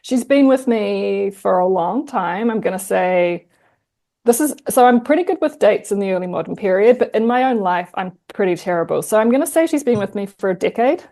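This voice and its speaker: A woman with a New Zealand accent, a guest historian chatting in an interview, lively and quick to laugh. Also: mid-range voice. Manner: chatty, animated, laughs easily. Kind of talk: casual interview conversation.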